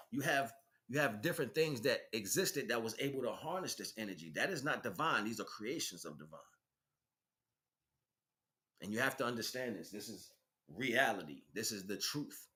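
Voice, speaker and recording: Bright-voiced man giving an emphatic spiritual lecture. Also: mid-range voice. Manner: emphatic, preaching with repeated phrases and rhetorical questions. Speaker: spiritual teacher.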